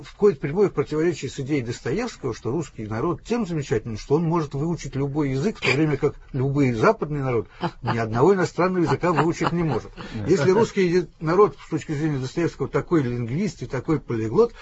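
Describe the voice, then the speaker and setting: A man guest in a radio interview, explaining his views in a measured way with frequent restarts, from Russia. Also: on the high side, animated, a little mellow, husky. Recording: dull lo-fi radio recording.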